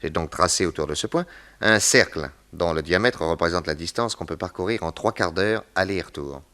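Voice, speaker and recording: A man playing a shrewd, commanding master detective who explains deliberately in a 1960s radio serial. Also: low voice, bright, forceful tone. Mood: confident, reasoning.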